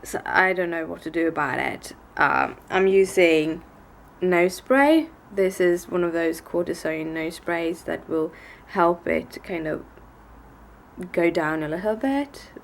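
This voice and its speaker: A woman with a low, gravelly voice talks to her camera in a living room at home. She sounds stuffed up from a heavy cold, and her talk rambles along in a tired, low-energy way. A faint hiss sits under the recording. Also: animated, takes it slowly. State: congested with a bad cold. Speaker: daily vlogger. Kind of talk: casual vlog chat. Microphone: camera on a desk in front of her.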